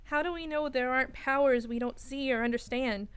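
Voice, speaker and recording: High female voice, earnest educated young woman, played by an actress, insistent, dread-filled, radio ghost drama. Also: brisk, clean tone, close to an everyday voice. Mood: ominous, fearful. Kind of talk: radio play acting.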